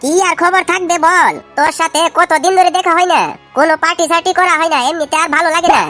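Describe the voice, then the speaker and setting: A bullying, irritable young cartoon guy, voiced by a woman, grumbles in slang. The voice is extremely high and hurried, with faint music underneath. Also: steady tone, bright, forceful tone. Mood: grumbling, coaxing. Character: bossy, irritable young male drinking buddy. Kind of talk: amateur comedy cartoon dialogue.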